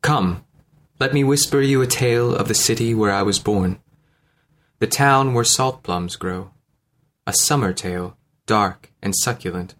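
Male storyteller, slightly deep voice, literary fiction narration. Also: measured pace, fairly bright tone.

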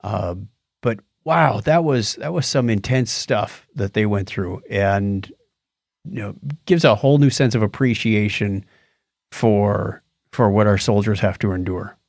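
A man's voice: lowish voice, a little slow, gravelly, a little mellow, no room sound, studio quality. A man co-hosting a podcast, chatting casually about shows and retelling things in a conversational way with quoted asides. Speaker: podcast co-host. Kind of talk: casual chat reviewing TV shows.

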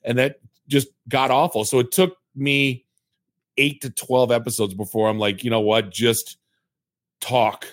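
Podcast host: mid-pitched man, talkative storyteller, dry close mic. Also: fairly even intonation, a little slow, no room sound. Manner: chatty, storytelling, self-mocking, many restarts. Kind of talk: casual podcast conversation.

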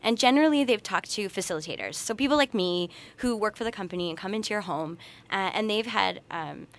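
Young woman, medium pitch, podcast guest, conversational explaining. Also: lively intonation, fairly quick. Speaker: podcast guest, researcher and party facilitator. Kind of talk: conversational interview.

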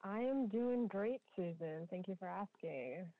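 A woman's voice: medium pitch, expressive, unhurried, no echo. A woman from Trinidad and Tobago telling her personal story conversationally as a podcast guest over a tinny, narrow call line.

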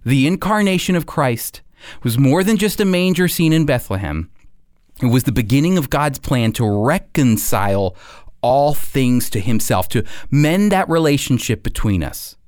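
A man giving a scripted sermon as a preacher, phrasing deliberately and emphatically and putting questions to his listeners. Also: medium pitch, animated, a little mellow, dry recording, clean studio sound.

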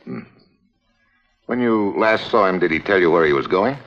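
Tough american detective type, slangy n impatient, lively pitch, muffled old lo-fi recording. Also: male voice, moderate pace. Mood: businesslike. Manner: clipped, slangy, hard-boiled. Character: brisk, impatient, tough-talking American male investigator. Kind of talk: old-time radio drama acting. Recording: dull lo-fi vintage drama soundtrack.

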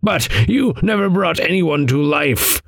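Guy doing a grave old croaky raven for a storybook, talks old-fashioned and scolding, pitched up high. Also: animated, measured pace, a bit bright, dry recording, studio quality, exaggerated voice. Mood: grave, admonishing. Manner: solemn, admonishing, archaic diction. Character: stern old raven guide, grave male riddling mentor. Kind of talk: audiobook character voice.

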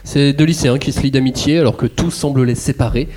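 A man's voice: mid-range voice, fairly even intonation, fast talker, background noise. A man hosting a discussion, presenting titles in a casual, conversational way. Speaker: host of a manga discussion.

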